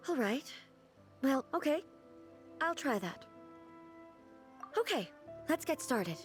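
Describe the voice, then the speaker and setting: A woman playing a solemn, earnest female ruler deity in a video game, speaking in a formal, measured way. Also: high voice, big pitch swings, soft background music, full character voice. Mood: hesitant, self-conscious. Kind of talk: video game character dialogue.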